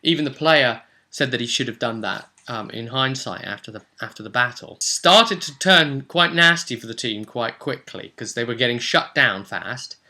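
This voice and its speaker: A British man with a strikingly bright, forceful voice at an average male pitch. He talks like a game master casually recapping a session, rambling along in colloquial, story-telling fashion. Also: lively intonation, moderate pace, hardly any pauses.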